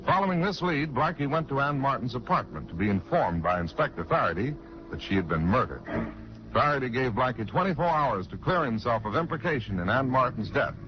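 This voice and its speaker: A man's voice: mid-range voice, sing-song, brisk. A man narrating a scripted 1940s radio drama as its announcer. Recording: muffled 1940s radio recording. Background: music under the voice.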